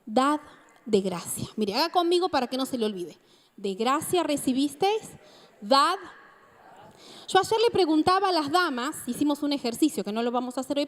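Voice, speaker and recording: A woman preacher from Argentina with an Argentine accent tinged with Mexican, giving a sermon and often asking the congregation questions. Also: high-pitched. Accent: Argentine Spanish with a touch of Mexican. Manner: engaging, asks the congregation questions. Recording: reverberant hall.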